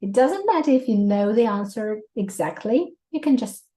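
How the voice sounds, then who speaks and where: Russian-accented woman teacher in her 30s, mellow voice, upbeat, lots of examples and little laughs. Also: fairly high pitch, moderate pace. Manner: lively, laughs often, explains with examples. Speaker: English teacher. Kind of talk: exam prep lesson.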